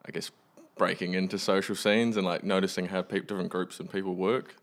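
A young man from Western Australia with a deep voice talks casually as a musician being interviewed. He keeps things understated and matter-of-fact. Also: little pitch movement, fairly quick. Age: young adult. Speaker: musician guest. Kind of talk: casual chat.

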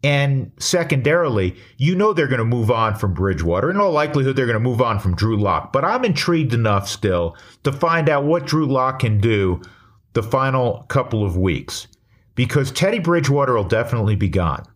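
An American man giving an opinion monologue as a sports radio host, measured and emphatic, often posing questions and answering them himself. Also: medium pitch, sing-song.

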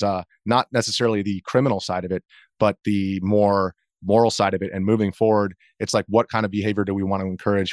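Low-voiced earnest american guy in his thirties. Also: dry recording, clean studio sound. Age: 30s. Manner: earnest, measured, thinking aloud. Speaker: interview guest, video journalist. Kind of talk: reflective interview account.

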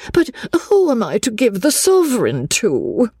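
A woman voicing a fussy, apologetic older spinster who talks in a fluttery, over-polite way, with a husky voice. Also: fairly high pitch, huge pitch swings, unhurried, dark tone, no room sound, studio quality, voice pushed up, heavily acted.

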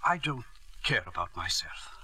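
An actor playing a proud, principled headmaster in a 1940s radio drama, speaking in a formal, stiff manner. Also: male voice, mid-range voice, very animated, moderate pace, bright, forceful tone, husky, dry recording, heavily acted. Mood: stiff, pleading. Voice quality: formal and stiff, growing distraught. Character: proud, principled school headmaster, middle-aged man. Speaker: headmaster character.

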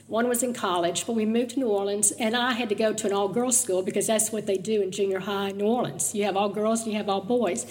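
An older woman, from Mississippi, telling her life story in a chatty, storytelling way, her voice slightly raspy. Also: mid-range voice, long reverb tail, rough recording. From Mississippi, US South. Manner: chatty, storytelling, laughs easily. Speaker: guest speaker sharing her testimony. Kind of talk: personal testimony talk.

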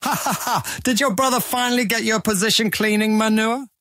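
A man's voice: high-pitched, a little fast, fairly bright tone, no room sound, studio quality. A man playing a hostile, contemptuous armed guard in a fantasy audiobook drama. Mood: mocking. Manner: contemptuous, threatening. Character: hostile, sneering armed male merchant-guild guard. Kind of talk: full-cast fantasy audiobook acting.

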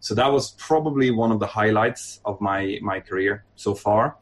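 Man from northern Sweden, airline training captain, low voice, unhurried chatty interview answers. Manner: relaxed, thoughtful, many fillers and restarts. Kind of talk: podcast interview.

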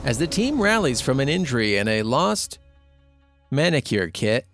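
A man's voice: mid-range voice, very animated, moderate pace, music in the background. A man playing a snide, mock-dramatic reality-contest announcer narrating an audio drama with wry commentary. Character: snide male reality-contest announcer, gleeful about danger.

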